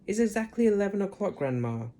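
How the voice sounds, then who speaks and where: A woman's voice: medium pitch, big pitch swings, fast talker, warm tone, voice pushed up, natural voice. A woman doing a polite, cheeky young boy. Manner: polite, eager.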